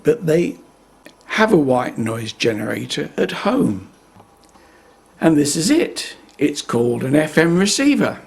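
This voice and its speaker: A man giving an unscripted, methodical hands-on explanation in a measured way, as the presenter of a repair video. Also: mid-range voice, gravelly, darkish tone, breathy edge.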